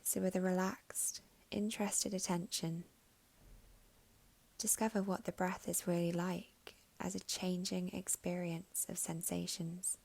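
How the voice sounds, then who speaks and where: A woman with a fairly low voice and a noticeable creak leads a guided meditation. She speaks softly and soothingly, taking her time with long pauses. Her phrases turn breathy and trail toward a whisper. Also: level tone.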